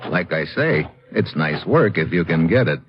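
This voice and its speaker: An actor playing a tough, wry adventurer hero in an old American radio drama, with a sardonic, wisecracking delivery. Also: male voice, low-pitched, lively intonation, normal speed, a little hiss or hum. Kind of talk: 1950s radio adventure acting. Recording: muffled old radio recording, music behind.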